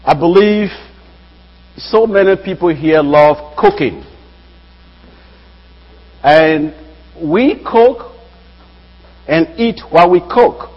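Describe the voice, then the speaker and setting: Young guy from liberia preaching slow in a big echoey church, lively up and down voice, kinda muffled recording. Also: on the high side. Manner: measured preaching, rising emphasis on key phrases. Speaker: guest preacher, Bible student. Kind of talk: sermon. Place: church sanctuary. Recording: dull lo-fi sound with long hall reverb.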